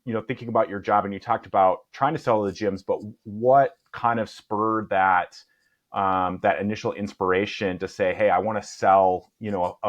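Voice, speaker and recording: Low-voiced podcast host, muffled audio. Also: male voice. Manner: hesitant, many fillers and restarts. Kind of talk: interview questions.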